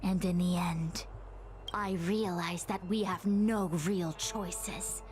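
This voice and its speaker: A woman voicing a solemn, commanding female master diviner in a video game, speaking gravely and formally. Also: fairly low pitch, fairly even intonation, slow, music in the background. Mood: grave, fatalistic. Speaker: voice actor in a game. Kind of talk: dramatic game cutscene acting.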